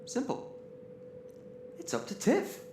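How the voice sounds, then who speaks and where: A man's voice: takes it slowly, close to an everyday voice. A man playing a bossy, sarcastic spaceship crewman in a sci-fi audio drama, badgering others with emphatic delivery. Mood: sarcastic, needling. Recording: echoey room with faint noise.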